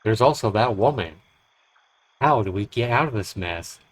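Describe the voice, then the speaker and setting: Man, lowish voice, dramatic story narration, deliberate expressive phrasing, visual novel read-aloud. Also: low-quality recording.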